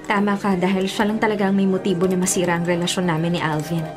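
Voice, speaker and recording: A woman's voice: fairly low pitch, flat delivery, quick pace, lightly acted. An actress playing an anxious, doubting young girlfriend in a Filipino radio drama. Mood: apologetic. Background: music.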